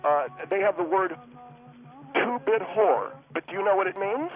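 A man voicing an excitable, touchy, self-justifying husband in a comic radio act, over a tinny phone line. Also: high-pitched, normal speed, faint music behind. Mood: pompous, flustered. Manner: indignant, blustering, self-justifying. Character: excitable, touchy husband attempting a hot-steel walk.

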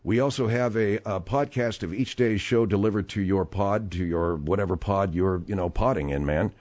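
A man's voice: low voice, a little mellow. A man hosting a comedy talk radio show. He delivers brash plugs and teases his guests. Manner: brash, quick plugs and teasing.

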